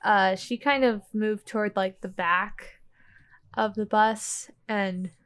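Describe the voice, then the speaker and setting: A woman with a mid-range voice plays in a roleplaying podcast. She takes her time, casually improvising descriptions of her character's actions at a slow pace. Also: mid-range voice, fairly even intonation, a bit bright, clear, resonant tone. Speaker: player in a tabletop roleplaying podcast.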